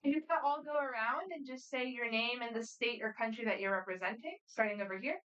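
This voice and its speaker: A woman moderating a student quiz competition, reading questions aloud in a brisk, friendly, matter-of-fact way. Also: on the high side, sharp tone, highs cut off. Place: competition room. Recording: voice picked up from a distance.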